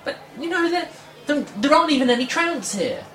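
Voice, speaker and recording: A woman's voice: sits high, animated, a little fast, fairly bright tone. A woman acting in a comedy sketch, flustered and hesitant, often stammering as she restarts her sentences. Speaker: comedy podcast performer. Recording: roomy echo, distant mic, faint ambience.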